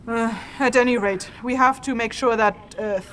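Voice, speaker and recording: A woman's voice: a little high, fairly even intonation, moderate pace, fairly bright tone, slight background noise, close to an everyday voice. A woman playing a stern, exasperated and analytical rune mage in a fantasy audio drama, matter-of-fact and dismissive.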